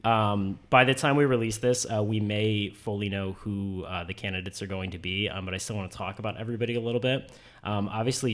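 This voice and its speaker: A young man hosting a comedy panel discussion, hesitant with many filler words. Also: on the low side. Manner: hesitant, lots of ums. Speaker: podcast host.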